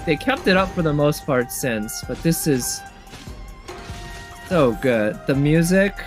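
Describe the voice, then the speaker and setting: Higher-voiced man giving casual commentary. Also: expressive, takes it slowly. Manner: rambling, thinking aloud. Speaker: video commentator ranking game trailers. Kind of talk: casual off-the-cuff reaction commentary. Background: music from trailers playing.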